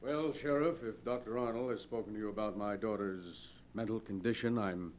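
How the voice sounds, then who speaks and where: A man's voice: on the low side, moderate pace, darkish tone, lightly acted. An actor playing a middle-aged villain posing as a doting father, formal and falsely tender, in 1940s radio melodrama. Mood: composed, condescending. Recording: muffled, noisy 1940s radio broadcast.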